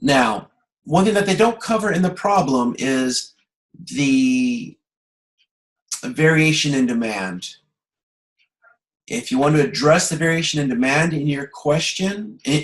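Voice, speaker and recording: A man teaching an informal online class as instructor, explaining step by step in a halting, conversational way and checking that listeners follow. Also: medium pitch, rough recording. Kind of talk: informal online lecture to students. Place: video conference.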